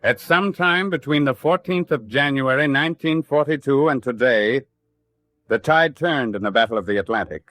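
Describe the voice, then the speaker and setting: An actor playing a sober, formal reporter narrating a wartime radio documentary drama. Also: male voice, medium pitch, moderate pace, a bit bright, no echo, lightly acted. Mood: sober, dramatic. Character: sober male reporter narrating the Atlantic war.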